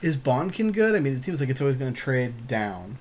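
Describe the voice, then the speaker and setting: A man's voice: medium pitch, very animated, fairly quick, darkish tone. A man co-hosting a card game podcast, chatting casually and chiming in with short, agreeable comments on the plays.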